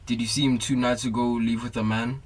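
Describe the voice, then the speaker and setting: A young South African man playing a steady, supportive police detective in a radio drama. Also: medium pitch, brisk, natural voice. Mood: businesslike. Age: young adult.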